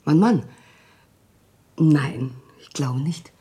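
Distraught wife in radio crime drama: husky female voice, halting, agitated, evasive. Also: sits low, lively intonation, mellow, heavily acted. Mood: nervous, evasive. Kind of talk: German radio play acting, dramatic dialogue.

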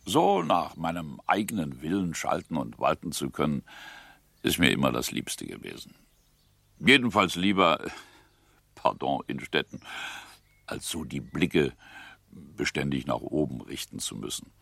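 A jovial, self-important country father in a radio play. The voice is very deep and swings expressively, with a dry, close sound. He rambles, stopping for small interjections. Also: sing-song, measured pace, gravelly, fairly bright tone, no echo, heavily acted. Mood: contented, expansive. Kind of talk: German radio drama acting.

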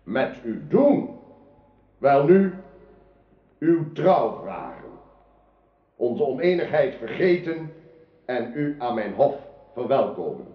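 A man's voice: medium pitch, sing-song, slow pace, long pauses. An actor playing a magnanimous young king in a radio drama, speaking with solemn, formal dignity. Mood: gracious, firm. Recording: roomy, dull archived radio play.

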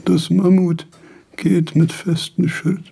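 An actor playing a frail, dying philosopher of nearly eighty in a radio play, halting, repeating words and trailing off. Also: male voice, sits high, level tone, vocal fry, dark tone, husky, heavily acted. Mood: labored, musing. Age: older. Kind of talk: German radio play acting.

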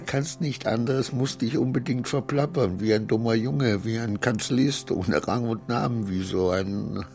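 A man's voice: medium pitch, unhurried, creaky, a little mellow, soft background music. A man in a radio drama playing an anxious, self-berating petty clerk who mutters to himself and swings into outbursts. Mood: despairing self-reproach.

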